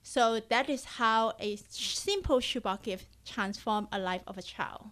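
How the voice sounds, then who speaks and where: A woman's voice: on the high side, unhurried, fairly bright tone. A woman from Hong Kong with a Hong Kong accent, a charity coordinator speaking earnestly as a radio interview guest, with fillers and non-native grammar.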